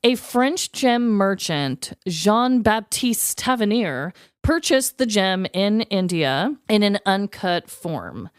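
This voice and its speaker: A woman's voice: mid-range voice, big pitch swings, slow, few pauses, clean tone, no room sound, clean studio sound. A woman co-hosting a podcast, telling a story in a casual, slangy, conversational way. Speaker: podcast co-host.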